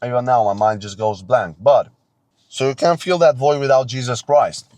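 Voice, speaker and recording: A man's voice: mid-range voice, normal speed, darkish tone, no echo. Young man, tired after no sleep, intense unscripted pep talk, close dry sound. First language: Romanian. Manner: earnest, intense, repeats himself, preachy. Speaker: self-improvement vlogger. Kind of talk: unscripted motivational monologue. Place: forest outdoors at dawn.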